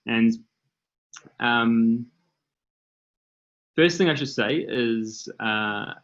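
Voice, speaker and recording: A man's voice: mid-range voice, extremely slow, fairly bright tone, highs cut off. A man with a New Zealand accent, a company founder presenting on a video call panel, explaining steadily with frequent ums and uhs. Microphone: computer mic on a video call.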